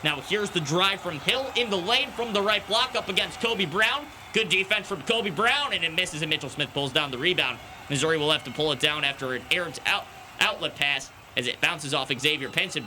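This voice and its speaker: A man's voice: on the high side, fairly quick, bright, forceful tone, a little hiss or hum. A young man delivering sports news and live play-by-play commentary as an anchor and commentator. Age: young adult.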